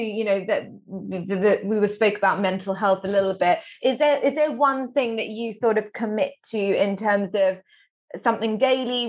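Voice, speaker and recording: A woman hosts an interview, warmly encouraging with bursts of praise, her questions coming out in halting restarts. The sound is a little dull and lo-fi. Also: mid-range voice. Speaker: podcast interviewer. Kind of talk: casual interview talk.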